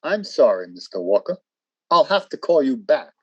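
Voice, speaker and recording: An actor playing a firm, procedural military security officer in a radio drama, formal, brisk and husky. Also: male voice, medium pitch, very animated, a bit bright, lo-fi sound. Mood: guarded, official.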